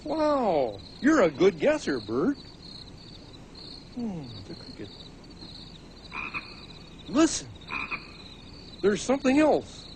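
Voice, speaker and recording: A man's voice: high voice, huge pitch swings, slow, pauses often, darkish tone, slight background noise, heavily acted. A man voicing a chatty, eager, pestering puppet camper in a comedy sketch, playful and full of questions. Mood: eager, curious.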